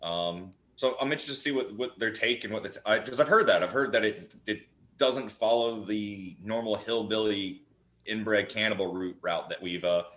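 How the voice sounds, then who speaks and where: A man's voice: on the low side, moderate pace, a bit bright. A man chatting casually about films as a podcast guest, riffing playfully and slipping into mimicked dialogue. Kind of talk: casual film chat. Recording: roomy, echoing voice.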